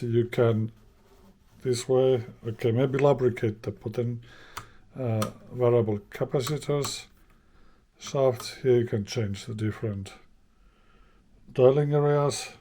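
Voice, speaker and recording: A man's voice: mid-range voice, slow, dark tone. A man giving an informal, unscripted hands-on demonstration, thinking aloud in a hesitant way as he explains. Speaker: host of a vintage radio repair channel.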